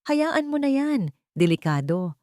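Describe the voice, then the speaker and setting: A woman's voice: on the high side, sing-song, measured pace, no echo, clean studio sound, voice pushed up. A woman voicing a serious, tender rich man. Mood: firm. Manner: quiet, earnest, tender. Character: wealthy man hiding as a houseworker, serious, gentle.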